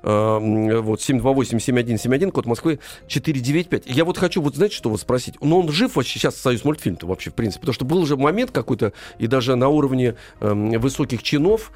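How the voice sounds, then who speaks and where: A man's voice: medium pitch, animated, fast, hardly any pauses, a little mellow. A man hosting a casual live radio interview, speaking informally in a rambling, hesitant way. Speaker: radio host.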